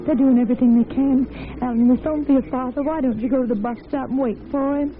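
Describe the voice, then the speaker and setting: High-voiced solemn neighbor lady, played by an actress. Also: fairly even intonation, fast talker, close to an everyday voice. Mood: grave, urgent. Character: solemn, protective neighbor woman bearing bad news. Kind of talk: 1950s radio drama acting. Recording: tinny, noisy vintage radio recording.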